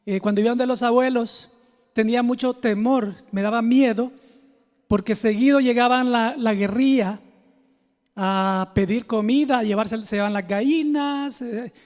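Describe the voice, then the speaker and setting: A man's voice: very high-pitched, normal speed, a little mellow. A man with a Salvadoran Spanish accent, a pastor giving a conversational sermon built on personal stories, speaking informally and confidingly straight to his listeners. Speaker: pastor, preacher. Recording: long hall reverb.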